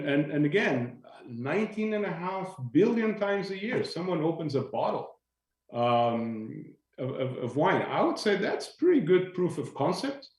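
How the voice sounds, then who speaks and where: Man in an echoey room. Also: a little high. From Portugal. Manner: thoughtful, searching for words, lightly joking. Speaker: industry panelist. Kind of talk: panel discussion over video call.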